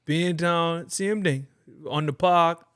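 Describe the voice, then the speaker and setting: Bahamian young man, guest on a chat podcast, lively storyteller, slightly high voice, dry close sound. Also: slow, clean tone, no room sound. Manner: animated storytelling, repeats phrases. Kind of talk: casual chat.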